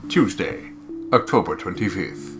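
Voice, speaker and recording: A man putting on a solemn, measured narrator voice to read formal diary entries in a game. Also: sits low, animated, over music.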